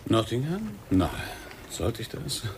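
An actor in a German radio drama playing a watchful, enigmatic man who is reserved, courteous and gently teasing. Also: medium pitch, sing-song, slow pace, gravelly, slight background noise, exaggerated voice. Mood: cool, courteous.

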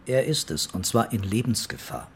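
An actor playing a dogged male police commissioner with a husky voice in a radio crime drama. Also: lowish voice, big pitch swings, normal speed, heavily acted. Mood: dry, insistent.

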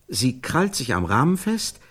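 A man's voice: mid-range voice, big pitch swings, a little slow, fairly bright tone, husky, heavily acted. An actor playing an intense scriptwriter who improvises a melodramatic scene in a stylised radio play, searching for the words as he narrates. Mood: excited, searching. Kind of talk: stylised radio drama acting.

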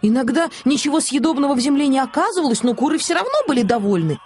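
A woman narrating a children's audiobook in an expressive, scripted storytelling style. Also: sits high, fast, a little hiss or hum.

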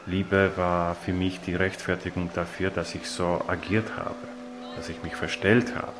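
Deep-voiced, halting man reflecting. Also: flat delivery. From Poland. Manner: halting, emphatic, searching for words. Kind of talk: reflective personal testimony. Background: music.

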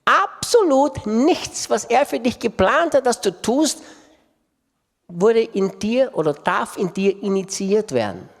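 A man's voice: very high-pitched, sing-song, slow, creaky. A man preaching a sermon with impassioned delivery and emphatic repetition. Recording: long reverb of a large hall.

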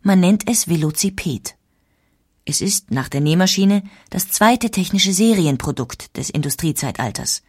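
A woman reading scripted documentary narration in a measured, explanatory way. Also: on the low side, expressive, darkish tone, slightly rough. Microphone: studio microphone.

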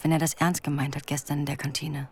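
An actress in a German radio drama playing a sharp-witted young female stenographer, speaking her thoughts in a dry, wry inner monologue. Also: low voice, flat delivery, fast, slightly rough. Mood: pondering.

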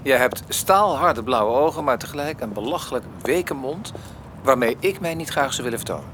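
A man's voice: mid-range voice, expressive, moderate pace, background noise, lightly acted. An actor in a radio play voicing a cocky, eccentric young intellectual man with a pompous, theatrical way of talking. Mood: boastful, teasing. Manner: pompous, theatrical, hesitating for effect.

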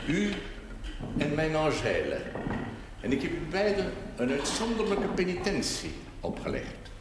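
Solemn priest, very echoey room. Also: male voice, a little high, huge pitch swings. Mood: grave, pastoral. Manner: formal, deliberate, sermonizing. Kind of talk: measured, theatrical television drama acting. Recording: very echoey, noisy 1970s TV recording.

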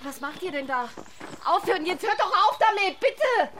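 Very high-pitched, distraught woman. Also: big pitch swings, moderate pace, very noisy. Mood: agitated, refusing. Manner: stammering, pleading. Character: distraught, guilt-ridden woman, stubbornly honest and protective. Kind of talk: German radio drama acting.

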